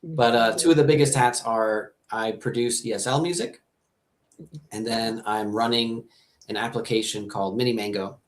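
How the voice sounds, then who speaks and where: A man's voice: medium pitch, steady tone, moderate pace. Upbeat chatty American-born man host. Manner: upbeat, chatty, repeats words. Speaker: host, ESL teacher and music producer. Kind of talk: live webinar hosting.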